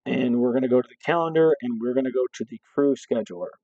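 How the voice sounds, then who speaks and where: A hoarse man from a fire district staff, explaining a scheduling app step by step and checking in with "okay" as he goes. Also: medium pitch, fast talker, mellow, dry recording, muffled recording. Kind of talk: screen-recorded software walkthrough.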